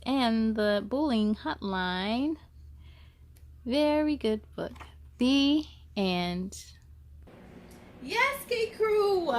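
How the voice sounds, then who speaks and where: A woman's voice: high-pitched, slight background noise. A woman hosting a children's story time, reading aloud expressively and speaking to young listeners in an encouraging way.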